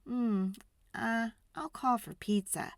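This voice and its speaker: Woman as a high-voiced, coaxing storybook mother. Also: slow, dry recording. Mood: resigned. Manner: tactful, hesitant, coaxing.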